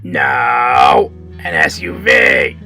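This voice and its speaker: A man voicing a depraved, scheming rat, a brash and raspy imaginary friend in a comedy puppet show. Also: low voice, sing-song, drawn-out words, faint music behind, exaggerated voice. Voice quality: raspy, gravelly. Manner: brash, sleazy, shouty.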